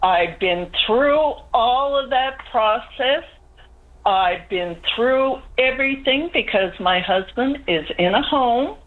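A woman's voice: fairly high pitch, expressive, slow pace. An older woman, calling in to a radio show and pouring out her frustration in long, pleading runs. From Newfoundland, Canada. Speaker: caller. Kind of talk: call-in radio complaint. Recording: tinny phone line.

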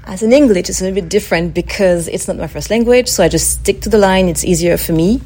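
A woman's voice: mid-range voice, quick pace, warm tone. A woman with a French accent, a native French speaker, chatting as a guest in a radio interview, laughing often and full of "like" fillers.

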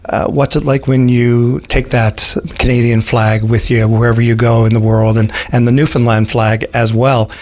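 Male morning radio host, friendly and chatty, middle pitch. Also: little pitch movement, moderate pace, few pauses, darkish tone. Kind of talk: radio interview.

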